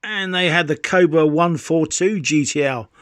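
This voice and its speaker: A man's voice: fairly high pitch, normal speed, no echo, studio quality. A man in his fifties presenting a hobby showcase in an enthusiastic, savouring, exclamatory way. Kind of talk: enthusiastic product showcase narration.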